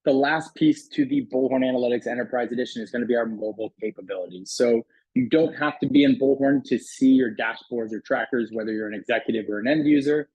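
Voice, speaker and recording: A man talks through a software demo in a conversational, explanatory way. His mid-pitched voice is a little husky, and the close recording is very dry. The delivery is casual sales patter. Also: fast, no echo, muffled recording. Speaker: software product demonstrator. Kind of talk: casual product demo walkthrough voice-over.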